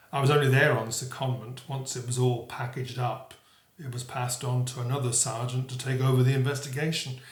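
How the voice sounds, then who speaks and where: An actor playing a kindly, modest elderly retired police sergeant and author, speaking in a wistful, slightly hesitant way in an audio drama. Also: male voice, mid-range voice, roomy sound, close to an everyday voice.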